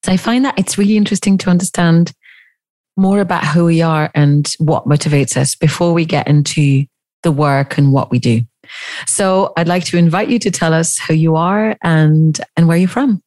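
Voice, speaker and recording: A woman's voice: low-pitched, normal speed, a little mellow. A woman hosting an interview podcast, introducing her guest and talking with her.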